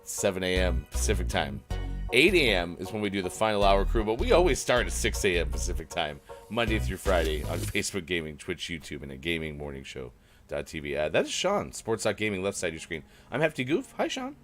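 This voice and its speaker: A man hosting a live morning show in easygoing, self-mocking banter, his voice a bit rough today. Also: low-pitched, very animated, a little fast, fairly bright tone, faint music behind. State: slightly rough, gruff voice. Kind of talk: casual live-stream morning show chat.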